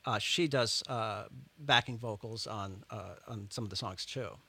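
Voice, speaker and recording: A middle-aged man, a musician, chatting as a guest in a radio studio, relaxed and rambling with chuckles. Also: on the low side, measured pace, husky. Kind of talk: casual radio interview chat.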